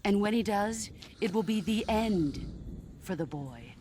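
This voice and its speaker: An actress playing a stern, battle-hardened woman soldier in a video game, calm, grave and commanding. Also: medium pitch, a little hiss or hum, lightly acted. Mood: grave, assured. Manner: grave, measured. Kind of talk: video game cutscene acting.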